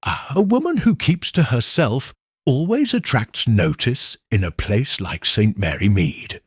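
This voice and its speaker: A man voicing a shrewd elderly village spinster, speaking in a gentle, probing, knowing way. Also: medium pitch, big pitch swings, a little slow, husky, no echo, studio quality. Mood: shrewd musing.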